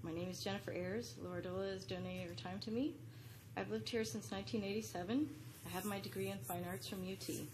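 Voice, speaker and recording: A woman's voice: lowish voice, background noise. A middle-aged woman reads an earnest, pleading prepared statement as a public commenter at a podium microphone in a large council chamber. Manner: earnest, pleading, read from notes.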